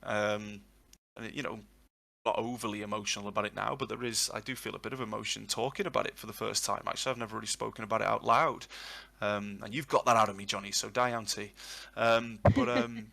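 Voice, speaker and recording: A man's voice: on the low side, quick pace, gravelly, pushed and bright. A man in his thirties, a language tutor answering questions in a live session, long-winded and reflective. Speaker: Welsh tutor and guest. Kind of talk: live Q&A on a voice chat.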